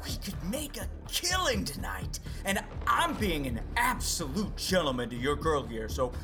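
An actor playing a brash, greedy, smug fight hustler in an audio drama, rowdy and cajoling. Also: male voice, high voice, sing-song, moderate pace, gravelly, music in the background, exaggerated voice. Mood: smug, wheedling.

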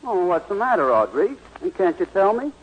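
A meek, harried suburban husband in a vintage radio comedy. His voice is husky and fairly high, swinging up and down as he protests in an earnest, flustered way. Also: very animated, fairly quick, highs cut off, heavily acted. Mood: fretful. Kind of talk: 1940s radio comedy acting.

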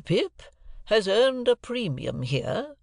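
An imperious, eccentric older lady from a storybook as a reader performs her. Her voice is raised high, with deliberate, emphatic phrases and a commanding, clipped manner. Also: sing-song, gravelly, darkish tone, no room sound, clean studio sound, full character voice. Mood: stately, deliberate.

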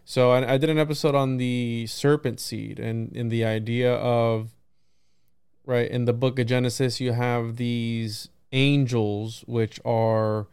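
A man's voice: mid-range voice, level tone, unhurried, a little mellow, clear, resonant tone, no room sound, studio quality. A man hosting a casual conversational interview, rambling and often circling back to rephrase what he is saying. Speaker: podcast host.

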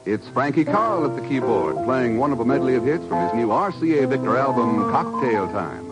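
An American man announcing in 1950s radio style, with formal, measured, emphatic phrasing. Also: medium pitch, animated, loud music behind the voice, low-quality recording. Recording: vintage radio broadcast recording.

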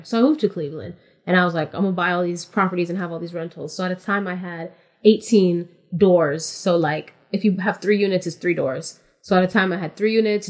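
A woman from California, a podcast guest in casual interview chat, explaining things matter-of-factly and step by step with frequent "like". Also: fairly low pitch.

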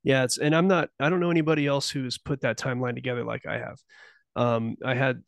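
Male podcast guest, gravelly, dry, meandering and speculative. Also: medium pitch, fairly even intonation, fast, no room sound. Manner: rambling, self-interrupting, speculative. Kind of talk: casual conversational interview.

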